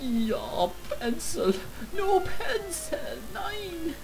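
A woman's voice: very high-pitched, sing-song, very slow, over music, poor audio. A woman playing a video game, chatting in a goofy, mock-dramatic way with her tools as if they were old friends. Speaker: gaming YouTuber. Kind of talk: comedic let's play commentary.

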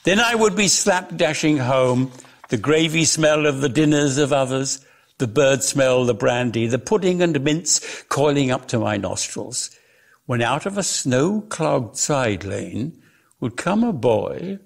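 A man giving a dramatic literary reading as storyteller, with theatrical, deliberate, emphatic phrasing. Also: medium pitch, animated, creaky. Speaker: reader of a story.

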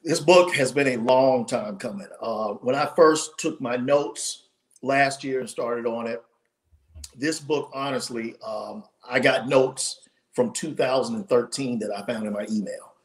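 A man in his forties, a podcast guest telling his story in an animated way with lots of restarts, his voice starting to wear out, speaking over a video call from home. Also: mid-range voice, unhurried. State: losing his voice. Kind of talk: casual interview storytelling. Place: home room, indoors.

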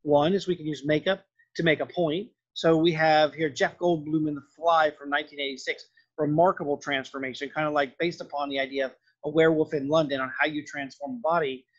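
Husky, higher-voiced male lecturer. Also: steady tone, a little fast, lo-fi sound. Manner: explanatory, loosely worded, conversational. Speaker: film and art history lecturer. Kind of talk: lecture narration over slides.

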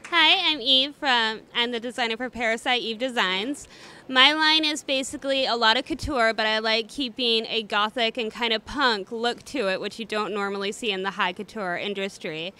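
Bright-voiced young woman designer. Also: fairly high pitch, normal speed, clear, resonant tone. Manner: brief, casual. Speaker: fashion designer. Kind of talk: press junket introduction.

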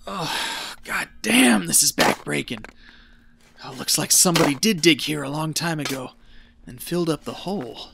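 An actor playing an exhausted, rambling treasure hunter losing his wits, folksy and breathy, muttering to himself in an audio drama. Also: a little high, animated, heavy background noise. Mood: straining, exhausted. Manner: folksy, muttering to himself, slow halting delivery.

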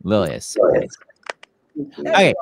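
A man hosting a casual video call, hurrying his guests along in a lively way. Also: fairly low pitch, very slow, creaky, crowd noise. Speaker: host.

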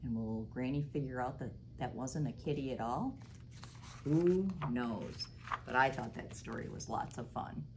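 Low-voiced woman leading a kids' craft. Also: measured pace, noisy background. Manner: animated storybook reading with big sound effects. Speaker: children's librarian. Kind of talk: storytime reading and craft demo. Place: library storytime room, indoors.

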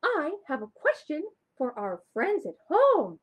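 A woman voicing an excitable, friendly, slightly vain flamingo puppet in a high, squeaky, bubbly voice for a children's storytime. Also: a little slow, muffled recording, full character voice. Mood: eager. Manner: bubbly, exclaiming.

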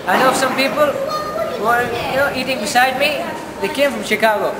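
A young man giving an excited, praising testimonial. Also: very high voice, brisk. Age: young adult. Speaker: seminar attendee. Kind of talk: enthusiastic testimonial. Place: large indoor hall. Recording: distant, echoey hall with crowd and hiss.

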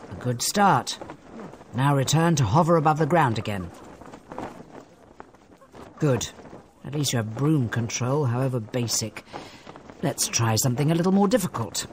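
A strict British flying teacher, voiced by an actor, brisk and commanding. The delivery is crisp and dry game dialogue with faint noise behind. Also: medium pitch, expressive, natural voice. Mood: brisk instruction. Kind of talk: video game cutscene acting.

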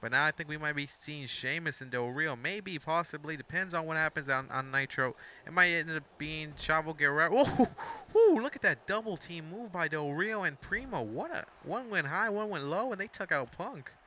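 A man's voice: a little high, expressive, normal speed, hardly any pauses, bright, forceful tone. A young man of Mexican background giving rambling, casual play-by-play commentary over a wrestling video game, full of asides and self-corrections.

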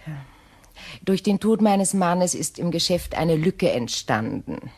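An actress in a radio play playing an imperious middle-aged widow, genteel, measured and coolly sardonic. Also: female voice, lowish voice, animated, darkish tone, breathy edge, exaggerated voice. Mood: composed, calculating.

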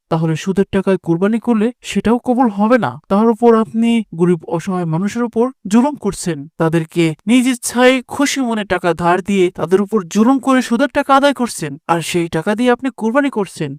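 A righteous cartoon village imam, voiced by a man, admonishing like a preacher. The voice is soft-toned and fairly high for a male, recorded dry. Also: no room sound. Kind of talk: cartoon voice acting.

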